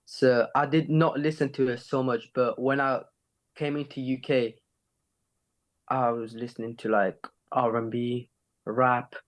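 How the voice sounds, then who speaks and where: A man's voice: mid-range voice, little pitch movement, measured pace. Slangy male rapper from Sweden, chatting. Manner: slangy, with frequent "innit" and "you know". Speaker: rapper and singer being interviewed. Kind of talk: casual chat.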